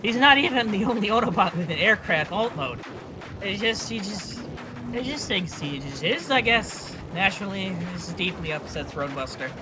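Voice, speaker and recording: A man's voice: high-pitched, animated, normal speed, music in the background. A man reading comedic character profiles in a fan dramatic reading. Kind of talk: comedic character-bio narration. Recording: very noisy amateur recording with clicks.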